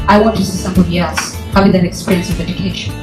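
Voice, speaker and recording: A woman's voice: fairly low pitch, fairly even intonation, racing. A woman from Kenya, speaking earnestly in a recorded video, with some fillers. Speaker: scout, youth activist. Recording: video clip with guitar music behind. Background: guitar music.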